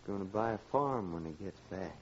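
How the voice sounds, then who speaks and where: A man's voice: sits low, animated, brisk. An actor playing a gloomy, terse man stranded on an expedition in an old 1940s radio drama. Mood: grim, resigned. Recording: muffled old radio recording, faint noise.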